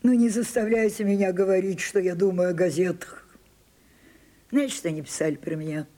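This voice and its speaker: A woman's voice: lowish voice, steady tone, brisk, warm tone, close to an everyday voice. An older woman playing an imperious, weary and capricious old French actress in a Soviet radio drama, theatrical and commanding. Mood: theatrical, insistent.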